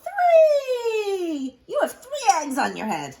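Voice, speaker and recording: A woman voicing a cheerful, excitable pig from a storybook in a high, drawn-out, singsong voice. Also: exaggerated voice. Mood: announcing brightly.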